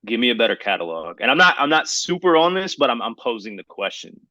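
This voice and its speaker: A man's voice: mid-range voice, very animated, quick pace, bright, forceful tone, no echo. An American man hosting a casual conversation, posing questions and arguing his points conversationally. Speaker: podcast host. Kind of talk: casual chat.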